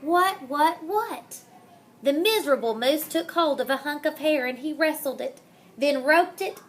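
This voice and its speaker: A woman reading a children's picture book aloud in a dramatic, deliberate manner, savoring the rhymes and wordplay. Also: high-pitched, expressive, a little hiss or hum. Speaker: storybook reader.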